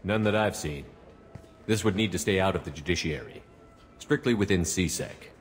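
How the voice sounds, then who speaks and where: An actor playing a calm, principled military commander in a video game, speaking plainly and directly. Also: male voice, deep voice, moderate pace, faint music behind, close to an everyday voice. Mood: firm. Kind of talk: video game character dialogue. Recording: noticeable background ambient noise.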